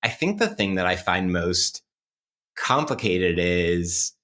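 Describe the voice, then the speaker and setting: A man co-hosting a podcast in a reflective conversational style, thoughtful and halting, circling his points with many qualifiers. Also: low-pitched, expressive, sharp tone. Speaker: podcast co-host.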